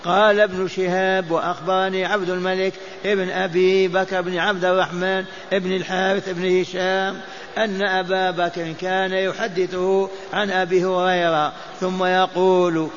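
A man's voice: high voice, level tone, normal speed. Older man teaching in a mosque, chanting. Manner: chants and repeats texts for memorizing. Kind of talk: mosque lesson on hadith. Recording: dull lo-fi lecture recording.

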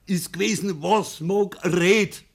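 An actor playing a stubborn, hot-tempered farmer with a Bavarian accent in a radio drama, gruff and commanding. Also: male voice, high voice, slow, exaggerated voice. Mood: angry, demanding. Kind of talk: German radio drama acting in dialect.

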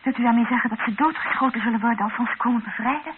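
An anxious planter's daughter played by an actress in an old radio play. She talks quickly in a higher voice, through a thin, hissy recording. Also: phone-quality sound, lightly acted. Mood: anxious, questioning. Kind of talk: old radio drama acting.

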